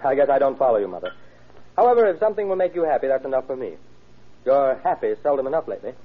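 An actor playing a practical young doctor, a fond son reassuring his mother in a brisk, offhand way in an old radio drama. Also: mid-range voice, big pitch swings. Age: young adult. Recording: thin, tinny old radio recording.

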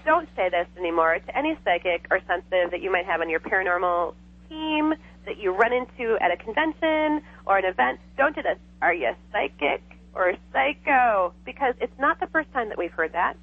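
A woman's voice: medium pitch, lively intonation, normal speed, husky. A woman guest on a radio talk show, chatting casually over the phone, laughing often and filling her speech with "you know". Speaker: psychic guest. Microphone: telephone. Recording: tinny phone line.

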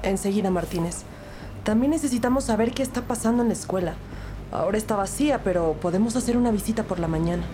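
A woman playing a composed police detective who narrates an audio drama in a measured, storytelling way. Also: medium pitch, background noise, natural voice. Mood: composed, professional.

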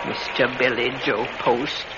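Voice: medium pitch, fairly even intonation, a little slow, lightly acted. A performer with a Southern US accent playing a stubborn, scolding old country woman in a radio drama, folksy and plain-spoken. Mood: wary, firm. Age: older. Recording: dull, faintly noisy old radio recording.

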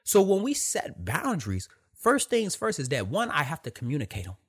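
A soft-voiced man giving a blunt, emphatic motivational talk, repeating his points. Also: fairly high pitch, wildly swooping pitch, moderate pace, husky. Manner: emphatic, repetitive, direct with profanity.

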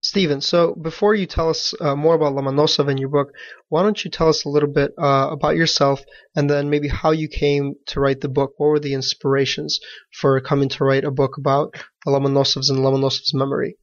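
A man's voice: a little high, level tone, brisk, no room sound. A man hosting a podcast, reading a formal introduction and asking a guest questions. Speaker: podcast host. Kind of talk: scripted introduction, then interview questions. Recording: dry, dull lo-fi audio.

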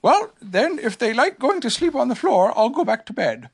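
A storybook reader voicing a small, gentle toy bear who is sleepy, polite and a little puzzled, speaking hesitantly. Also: mid-range voice, sing-song, moderate pace, vocal fry, husky, voice pushed up, heavily acted. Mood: gently hospitable. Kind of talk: children's storybook character reading.

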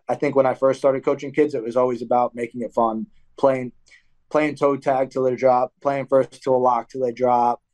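A young man in a casual interview, speaking at an ordinary middle pitch. He explains coaching kids' wrestling in long, looping sentences, stopping to correct himself. His voice lifts when he acts out practice moments. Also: rough recording. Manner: rambling, self-correcting, animated when acting out drills. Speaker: wrestling coach and athlete, guest.

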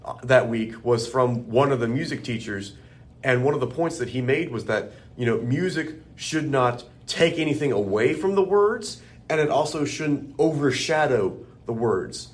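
A man with a deep bass voice co-hosts a religious podcast. He talks in a friendly, casual way and often checks in with the listener. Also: lively intonation, moderate pace. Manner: friendly, conversational, checks in with "right?". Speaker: podcast co-host.

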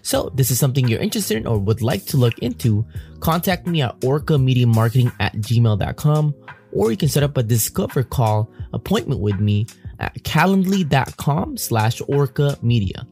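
A man reading a promotional sponsor spot in an upbeat pitch. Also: sing-song, moderate pace, a little mellow, soft background music. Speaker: ad reader. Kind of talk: read sponsor ad.

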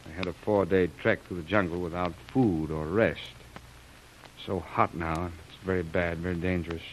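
An actor playing a grave, kindly jungle doctor in an old radio drama, measured and a little hesitant. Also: male voice, low voice, steady tone, lightly acted. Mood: concerned. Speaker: doctor character. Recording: noisy old radio recording.

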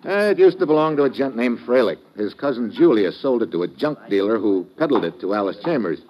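An actor playing an impatient, hard-boiled private detective in old-time radio style, clipped, slangy and wisecracking. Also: male voice, medium pitch, sing-song, brisk, close to an everyday voice. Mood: brisk, probing. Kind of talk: old-time radio detective acting with first-person narration. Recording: dull vintage radio recording.

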